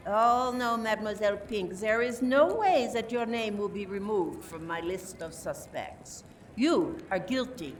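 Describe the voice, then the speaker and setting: A woman playing a suspicious, politely condescending French police inspector in an amateur radio play, deliberate and theatrical. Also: a little high. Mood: accusing. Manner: deliberate, theatrical, repeats words for emphasis. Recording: distant mic, faint noise, room ambience.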